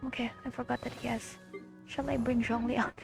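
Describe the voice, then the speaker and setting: Creaky-voiced woman reacting to a game. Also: a little high, flat delivery, brisk. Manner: slangy, swearing, exclamatory reactions. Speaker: gamer reacting to a story quest playthrough. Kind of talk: casual reaction commentary over a video game. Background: video game music.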